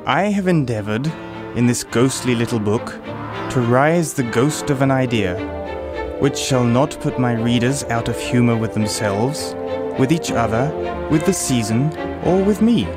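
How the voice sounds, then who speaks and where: A man's voice: mid-range voice, lively intonation, a little slow, close to an everyday voice. Storybook preface male reader: courtly, solemn author, mid-range voice under prominent music. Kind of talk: literary reading.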